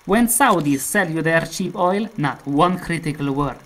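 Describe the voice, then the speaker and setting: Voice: medium pitch, expressive, unhurried, a bit bright. An actor playing a scornful, cynical Russian autocrat in satirical rhymed dialogue, with a sardonic, declamatory delivery. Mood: sardonic, argumentative.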